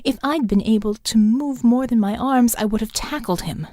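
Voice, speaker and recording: A woman playing an intense, deliberate scientist in a science fiction audio drama. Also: on the high side, warm tone, close to an everyday voice.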